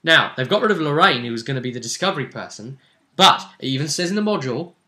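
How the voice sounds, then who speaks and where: A man's voice: on the high side, animated, fast talker, bright, forceful tone. A man chatting casually as a game master, recapping a tabletop role-playing session and acting out bits of dialogue as he goes. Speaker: game master and video host.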